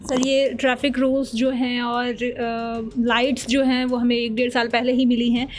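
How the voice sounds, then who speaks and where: A woman's voice: a little high, flat delivery, moderate pace. A woman hosting and asking questions in a radio studio interview. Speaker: radio show host, interviewer.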